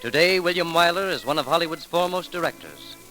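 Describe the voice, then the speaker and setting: A man announcing a memorial tribute in a formal, measured, solemn 1930s radio style, on a vintage broadcast recording. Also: on the high side, pushed and bright, poor audio. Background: music.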